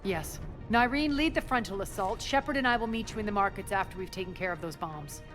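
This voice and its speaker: A woman's voice: mid-range voice, fairly quick, music in the background, close to an everyday voice. Woman acting a cold, imperious female crime boss. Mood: commanding. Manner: imperious, clipped, scornful. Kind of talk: video game cutscene acting.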